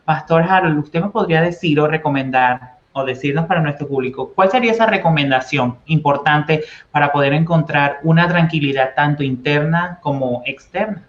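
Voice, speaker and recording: A man hosting a talk show, asking questions in an encouraging way with quick asides. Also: fairly high pitch. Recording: echoey, dull lo-fi audio.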